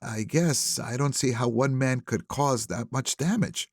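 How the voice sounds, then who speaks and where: A man voicing a grieving, guarded suburban widow in an audiobook, soft-spoken and halting. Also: medium pitch, no room sound, studio quality.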